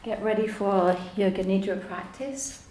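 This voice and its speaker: Woman doing slow soothing guided relaxation, warm mellow tone, roomy sound w a bit of background noise. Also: mid-range voice. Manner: calm, soothing, unhurried with long pauses. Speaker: yoga nidra teacher.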